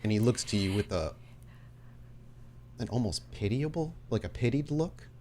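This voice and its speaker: A man narrating a tabletop roleplaying game as game master, with deliberate, suspenseful storytelling. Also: medium pitch, lively intonation, dark tone, slight background noise.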